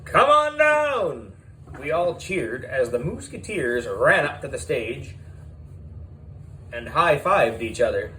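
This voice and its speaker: A man narrating a children's audiobook in a lively storytelling style. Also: mid-range voice, takes it slowly, a little hiss or hum, poor audio.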